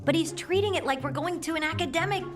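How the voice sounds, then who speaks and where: A woman playing an earnest, teasing young scout in a video game, informal and expressive. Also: extremely high pitch, fast. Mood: fond, cheerful. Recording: music under the voice.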